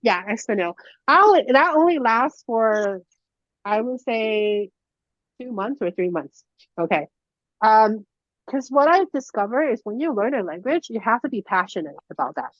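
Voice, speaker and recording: A woman's voice: fairly high pitch, animated, moderate pace, no echo. A young woman from Taiwan whose first language is Mandarin, teaching in a casual, chatty way with lots of fillers and restarts. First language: Mandarin Chinese. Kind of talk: casual conversational lesson. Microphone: video call. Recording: dull lo-fi video call audio.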